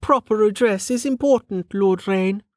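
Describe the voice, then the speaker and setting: A woman's voice: mid-range voice, measured pace, darkish tone, dry recording, clean studio sound, pitched above the performer's own voice, natural voice. A woman voicing a prim, snobbish noblewoman in an audiobook, with a testy, proper manner. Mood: haughty, proper. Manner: haughty, sniffing, proper. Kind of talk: audiobook character acting.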